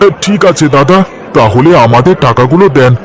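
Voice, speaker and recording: A man's voice: mid-range voice, expressive, brisk, a faint crowd, close to an everyday voice. A man voicing a worried, humble poor village vegetable trader in a cartoon story, speaking in a pleading, deferential way. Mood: anxious, pleading. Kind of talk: Bengali cartoon story dialogue.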